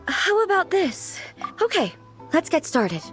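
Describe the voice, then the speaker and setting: A woman voicing a dignified, sheltered female deity in an anime game, speaking formally, measured and earnest. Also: extremely high pitch, huge pitch swings, fairly bright tone, soft background music, exaggerated voice. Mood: bashful, puzzled.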